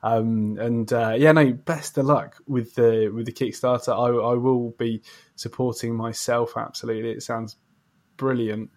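A man hosts an interview show in a casual, chatty style. His pitch sits in the middle for a man, and his manner is effusive and quick to agree. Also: steady tone, darkish tone. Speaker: podcast host and interviewer.

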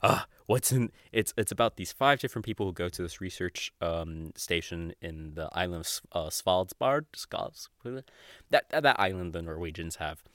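A young adult man hosting a podcast solo, chatting casually and off the cuff in a giggly, rambling, sweary way with halting false starts. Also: deep voice, lively intonation, a bit bright, no room sound, clean studio sound. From Mexico or of Mexican family. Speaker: podcast host. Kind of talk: casual unscripted podcast monologue.

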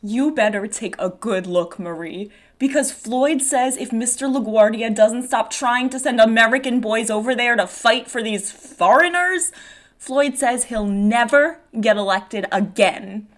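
A woman playing an excitable, teasing young woman in love, theatrical and gushing, in a stage script read-through. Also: fairly high pitch, unhurried, natural voice. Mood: gossipy.

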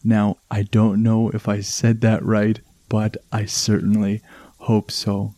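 A man with a Canadian accent hosting a calm relaxation podcast, speaking in a soothing, unhurried way. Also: fairly low pitch, no echo. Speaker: podcast host. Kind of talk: slow relaxation podcast narration.

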